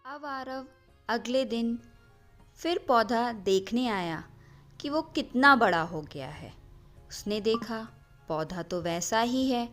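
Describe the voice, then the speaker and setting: A woman's voice: on the high side, lively intonation, takes it slowly, a bit bright, soft background music. A woman narrating a scripted story for children as its storyteller.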